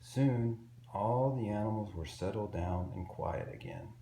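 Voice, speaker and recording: A man reading a picture book aloud to young children in an expressive, simple storytelling way. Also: lowish voice, unhurried, gravelly. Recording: roomy echo with faint background noise.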